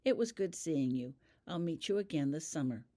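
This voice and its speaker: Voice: medium pitch, lively intonation, normal speed, mellow, lightly acted. A narrator voicing a commanding adult male wizard in a cold, abrupt manner. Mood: brisk, polite.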